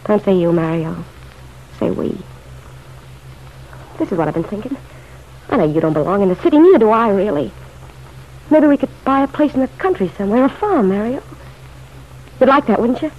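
A woman's voice: mid-range voice, animated, brisk, pauses often, close to an everyday voice. An actress playing a bitter, pleading, lovelorn city woman in a vintage radio drama, blunt, slangy and insistent. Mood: eager pleading. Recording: dull, noisy old radio recording.

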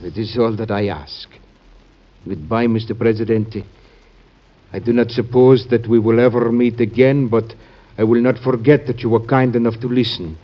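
An actor as a humble but fervent man pleading for his island people in an old radio play. He speaks solemnly at a medium pitch, choosing formal words with care. The recording is dull and narrow. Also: steady tone, moderate pace, close to an everyday voice. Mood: humble, grateful. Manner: solemn, careful, formal diction. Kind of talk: classic American radio drama acting.

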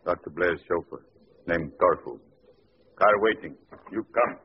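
An actor playing a huge, menacing West Indian chauffeur who speaks terse broken English, in 1940s radio style. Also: male voice, low-pitched, expressive, unhurried. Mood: curt, looming. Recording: noisy muffled old radio recording.

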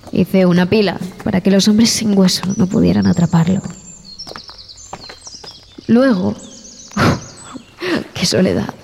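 A woman playing a traumatized expedition survivor in a horror audio drama, telling her story in a haunted, halting, dramatic way. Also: mid-range voice, vocal fry, darkish tone, a little hiss or hum. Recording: music bed behind the voice.

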